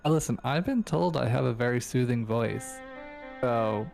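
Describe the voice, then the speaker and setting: A man's voice: medium pitch, normal speed, vocal fry, a little mellow, faint music behind. A man who streams, chatting casually and joking around with a friend, swearing freely, from his streaming room at home. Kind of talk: casual live-stream chat.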